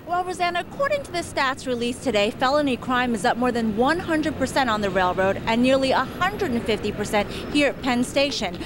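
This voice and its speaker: A woman's voice: high-pitched, big pitch swings, brisk, hardly any pauses. A woman reporting live for television news as a field reporter from a busy train station. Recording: noisy location, traffic and station ambience.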